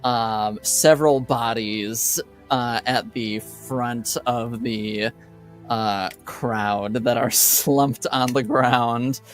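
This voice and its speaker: A man improvising narration as game master in a tabletop roleplaying game, halting and laid-back. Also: mid-range voice, fairly even intonation, soft background music.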